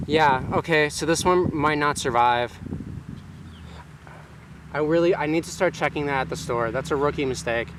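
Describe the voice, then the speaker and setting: A man's voice: a little high, normal speed, long pauses, background noise. A man casually vlogging outdoors in a home garden, filming himself with a handheld camera and rambling with lots of fillers. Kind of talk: casual self-filmed vlog. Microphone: handheld self-filming camera.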